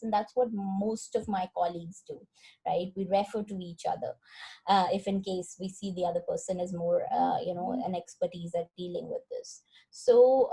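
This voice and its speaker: A woman's voice: fairly low pitch, vocal fry, mellow, slightly rough, rough recording. A woman speaking as a psychologist in an online question session, explaining things and often checking in with "right?" and "you know". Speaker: psychologist, invited guest speaker. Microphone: online group call.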